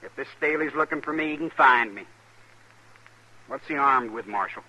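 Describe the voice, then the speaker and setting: An actor playing a stubborn, forthright young cowboy husband in an old radio western, with a rural American accent. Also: male voice, medium pitch, moderate pace, bright, forceful tone, highs cut off. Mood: resolute. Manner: plain, forthright. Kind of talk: mid-century American radio western acting.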